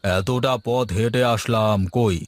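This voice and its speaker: A man's voice: mid-range voice, fairly even intonation, slow, dry recording, close to an everyday voice. Bossy, suspicious village master, played by a man. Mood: suspicious, commanding. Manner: commanding, questioning, impatient. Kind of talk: Bengali moral-story cartoon dubbing.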